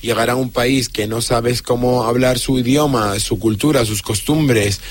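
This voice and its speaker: A man's voice: medium pitch, fairly even intonation. Earnest young man from Syria. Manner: earnest, halting with fillers and restarts. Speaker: guest. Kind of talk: radio interview, personal story.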